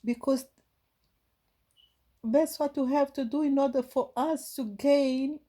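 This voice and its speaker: A woman from Brazil with a Brazilian Portuguese accent, giving a gentle, halting inspirational talk to her viewers with many restarts. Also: sits high, fairly even intonation. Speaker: spiritual vlogger.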